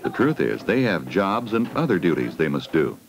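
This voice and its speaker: Male narrator for a 1980 school film: low voice, very varied melody, simple explaining and questioning aimed at kids. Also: very animated, moderate pace. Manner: addresses children with simple questions. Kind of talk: scripted educational film narration. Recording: 1980 16mm film soundtrack.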